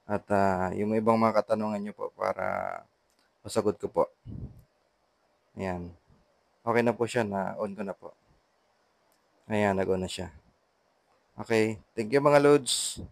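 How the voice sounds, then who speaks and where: Filipino guy doing a casual vlog voiceover, deep gravelly voice, kinda halting and repeats himself. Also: no room sound. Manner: halting, repetitive, with short trailing phrases. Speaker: vlogger, intercom installer. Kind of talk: casual vlog voice-over narration of a how-to.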